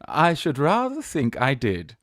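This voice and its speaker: A woman with a British accent playing a flustered young gentleman who poses as a rich old aunt in a theatrical Victorian farce. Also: deep voice, wildly swooping pitch, a little slow, dry recording, clean studio sound, heavily acted. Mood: bluffing, hesitant. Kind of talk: Victorian stage farce, radio play acting.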